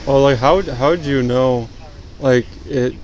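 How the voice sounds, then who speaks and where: Male street protester, middling pitch, stammering, persistent questions, faint traffic behind him. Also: a little slow, a little mellow, rough recording. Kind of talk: questioning police officers. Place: outdoors on a city sidewalk. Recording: faint traffic noise outdoors.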